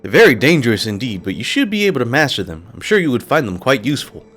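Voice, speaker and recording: A man's voice: medium pitch, big pitch swings, quick pace, fairly bright tone, faint music behind. A man reading the lines of a polite, formal fish-man bomb maker in a video game. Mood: courteous. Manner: formal, courteous.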